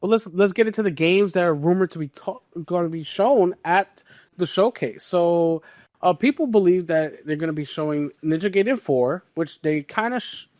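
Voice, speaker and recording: A man's voice: sits high, moderate pace, darkish tone, no echo, clean studio sound. A man hosting a podcast and steering the topics in casual gaming news chat.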